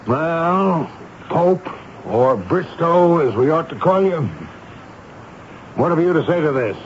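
Stern army major in old radio drama. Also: male voice, fairly high pitch, expressive, slow pace, slight background noise. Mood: stern, commanding. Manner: commanding, clipped, dryly sarcastic. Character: stern, sardonic army major interrogating prisoners. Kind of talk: 1970s radio drama acting and narration. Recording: dull lo-fi radio recording with music.

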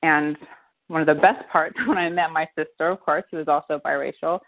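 A low-pitched woman in her fifties answers interview questions in a thoughtful, halting way, circling back and restarting her sentences. Her voice comes through a thin, tinny phone line. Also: fairly even intonation. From United States. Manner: thoughtful, many restarts and fillers, soft laughs. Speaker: guest, winery co-founder. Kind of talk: casual interview conversation.

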